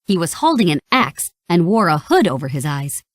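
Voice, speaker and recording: A woman narrating a read-along storybook in an animated, expressive way. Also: on the low side, normal speed, no room sound, clean studio sound.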